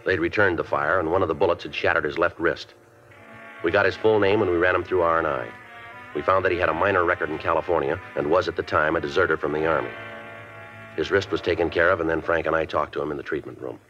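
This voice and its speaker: An actor playing a police detective who narrates a case in clipped, matter-of-fact sentences, in a 1950s radio drama. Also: male voice, very deep voice, steady tone, quick pace, pushed and bright, faint music behind. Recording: dull old radio broadcast.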